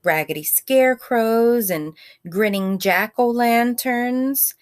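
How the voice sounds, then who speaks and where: A woman reading a picture book aloud like a teacher, in simple child-directed speech, pausing to ask questions and explain words. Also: on the high side. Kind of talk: read-aloud storytime with asides and questions.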